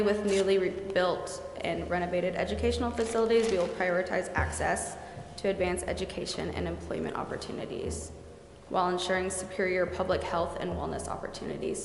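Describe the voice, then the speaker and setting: A woman's voice: fairly low pitch, creaky. A woman presenting and explaining at a public meeting in a council chamber. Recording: echoey hall, noisy background.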